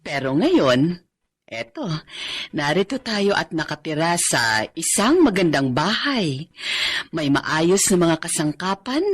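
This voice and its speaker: An actress playing a thoughtful, contented wife in a radio drama, with a Filipino accent and a husky voice. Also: female voice, lowish voice, big pitch swings, slow, exaggerated voice. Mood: reflective, contented.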